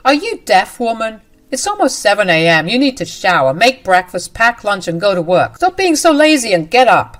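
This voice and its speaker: A furious, bullying husband barks orders in a harsh, raised voice, as a woman reads his lines. The voice sits slightly low and stays hard and demanding throughout. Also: lively intonation, moderate pace, fairly bright tone. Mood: angry. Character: angry, bullying adult husband.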